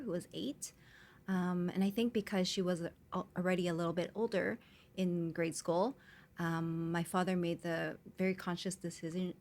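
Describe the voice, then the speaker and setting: A woman with a slightly low voice speaks as a guest in a relaxed interview. She talks easily and casually, with frequent "um" and "like." She was born in Hong Kong and raised in Canada. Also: slight background noise. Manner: easygoing, with frequent um and like. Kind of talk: conversational interview.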